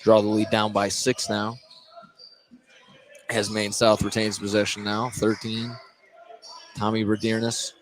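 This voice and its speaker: A man doing play-by-play radio commentary of a high school basketball game. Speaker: play-by-play announcer. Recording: noisy live gym broadcast.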